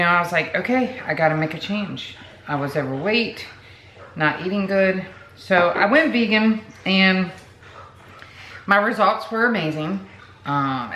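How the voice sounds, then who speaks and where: A woman's voice: on the low side, a bit bright. A woman in her late forties, chatting casually to camera as a vlogger, rambling with many fillers and asides. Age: 40s. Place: home room. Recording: echoey room with background noise.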